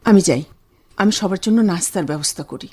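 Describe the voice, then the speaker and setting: A woman's voice: on the low side, animated, brisk, warm tone, slightly rough. A woman acting a bustling, fussing, reassuring elder sister in a Bengali radio drama. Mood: fussing, encouraging.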